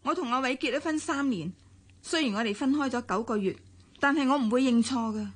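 An actress in a Hong Kong radio drama playing a soft-spoken, refined young wife with a Hong Kong Cantonese accent. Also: female voice, sits high, brisk, slightly rough. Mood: gentle. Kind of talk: broadcast radio drama acting.

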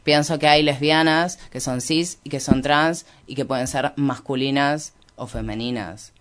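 A man's voice: mid-range voice, fairly even intonation, measured pace, fairly bright tone, smooth. A man with an Argentine Spanish accent talking as an interview guest in an informal intellectual discussion, reflective and hesitant, often rewording himself. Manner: hesitant, reflective, self-correcting.

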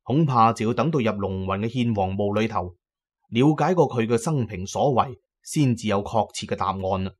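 A man's voice: fairly low pitch, moderate pace. A man with a Hong Kong Cantonese accent narrating an adventure story in the first person, as in an audio drama.

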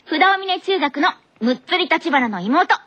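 Woman playing cheeky, high-voiced teenage schoolgirl. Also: lively intonation, measured pace, a bit bright, exaggerated voice. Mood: perky. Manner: playful, forward, girlish. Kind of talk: anime character radio skit. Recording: dull lo-fi radio recording.

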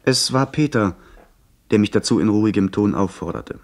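A man's voice: on the low side, lively intonation, moderate pace, darkish tone. An actor playing the narrator of a radio adventure, telling his own story in scripted, dramatic prose. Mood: gripping, measured. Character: male storyteller recalling his pirate adventure.

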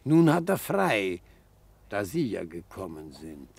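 Pompous old retired lawyer in a radio play, creaky, swoops up and down, sly and genial. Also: male voice, on the low side, sing-song, slow, darkish tone, dry recording, heavily acted. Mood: matter-of-fact. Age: older. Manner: pompous, old-fashioned, florid phrasing. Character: genial, theatrical old retired defence lawyer, slyly coaxing. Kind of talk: German radio play acting.

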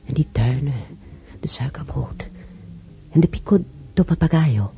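An actress playing an elderly bedridden widow in a radio drama, musing haltingly and wistfully over her memories. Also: low voice, expressive, creaky, muffled recording, soft background music, heavily acted. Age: older. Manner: halting, musing, with sighs and whispers.

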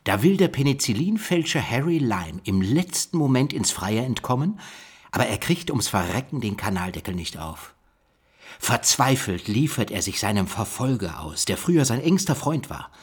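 A man's voice: medium pitch, sing-song, normal speed, slightly rough. An actor playing a curious male investigator puzzling over a cold case in a radio drama. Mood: absorbed.

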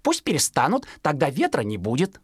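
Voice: mid-range voice, wildly swooping pitch, brisk, husky, no room sound, clean studio sound. A narrator voicing a naive, stubborn young sparrow boy with a boastful chirping manner in a children's storybook recording. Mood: stubborn, scornful. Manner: chirping, boastful, argues back. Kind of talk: children's audio storybook character acting.

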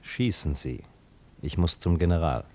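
A very low-voiced mysterious stranger in a radio drama, solemn and implacable. He speaks in measured, cryptic phrases, on a noisy, humming old recording. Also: lively intonation. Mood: calm, insistent. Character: mysterious man in a raincoat, otherworldly, calmly implacable. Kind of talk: German radio drama acting.

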